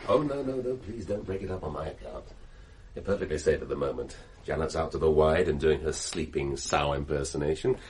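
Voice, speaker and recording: Middle-aged cynical husband, British accent, very deep, husky voice, mocking, insinuating, radio drama. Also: male voice, expressive, fairly quick, darkish tone, full character voice. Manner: mocking, insinuating, drawling rhetorical questions. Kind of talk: radio play acting.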